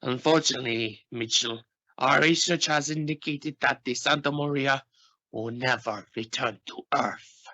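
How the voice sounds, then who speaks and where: A man playing a solemn, formal Russian space agency spokesman in an amateur radio play, speaking with deliberate regret. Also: mid-range voice, bright, forceful tone. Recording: dull, noisy home-made radio play recording.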